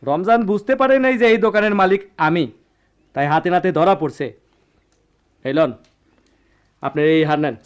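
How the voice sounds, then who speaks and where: An actor playing a righteous, self-assured gold dealer in a radio drama, deliberate and assertive, with a rural Bangladeshi accent. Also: male voice, sits high, sing-song, smooth. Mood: confident, reproachful. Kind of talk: Bengali radio play acting.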